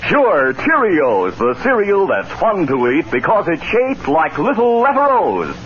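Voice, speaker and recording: A man's voice: fairly high pitch, wildly swooping pitch, measured pace, a bit bright. A male announcer delivering theatrical, declamatory narration in the dramatic style of 1940s and 50s radio. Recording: dull vintage radio recording. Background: music.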